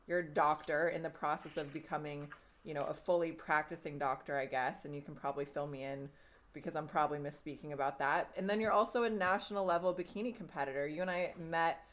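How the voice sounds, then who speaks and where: A woman hosts a podcast from her home studio and talks in a friendly, enthusiastic way. Her voice sits a little low for a woman. She asks her questions warmly, with a few restarts. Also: steady tone, quick pace. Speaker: podcast host. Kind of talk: casual podcast interview.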